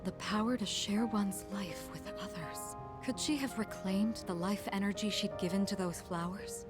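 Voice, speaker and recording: An actress playing a composed, authoritative young woman in a video game drama, with formal, deliberate phrasing. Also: mid-range voice, close to an everyday voice. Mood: pondering. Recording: music over the voice.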